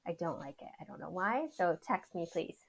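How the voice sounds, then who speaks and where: A woman's voice: expressive, fairly quick, vocal fry, husky. A woman, a chatty podcast guest who laughs often. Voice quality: says her voice is high. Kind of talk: casual interview chat.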